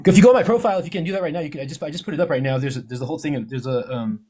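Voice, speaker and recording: A man explains his points in quick, tumbling bursts, stumbling over restarts and fillers. His voice sits a little high. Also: background noise. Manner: halting, many restarts and fillers. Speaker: co-host researcher. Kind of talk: casual argumentative chat.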